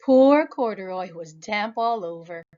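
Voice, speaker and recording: A woman, a preschool teacher, reading a children's picture book aloud in a lively, expressive way. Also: unhurried, lo-fi sound. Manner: animated, high voices for characters. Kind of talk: children's storybook read-aloud.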